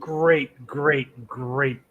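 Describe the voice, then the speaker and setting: Husky guy, mid pitch, unhurried, just chatting over a drink. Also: fairly even intonation, fairly bright tone, highs cut off. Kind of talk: casual whiskey-tasting chat.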